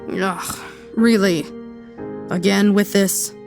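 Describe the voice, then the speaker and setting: A woman's voice: medium pitch, steady tone, slow pace, music in the background. A woman acting a brash, foul-mouthed believer in an audio drama, blunt and sarcastic. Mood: sarcastic, irritated.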